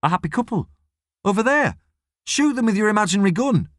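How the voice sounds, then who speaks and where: A man acts out a whimsical young man in an audiobook. He is theatrical and excitable, lifting his voice high with big, lively swings. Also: fairly quick, no echo, clean studio sound. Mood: teasing. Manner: theatrical, exuberant. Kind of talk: audiobook character voice.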